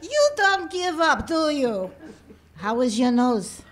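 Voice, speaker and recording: A woman voicing a grumbling, disfigured female lab assistant with a sullen, deadpan, blunt manner. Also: high voice, slow, exaggerated voice.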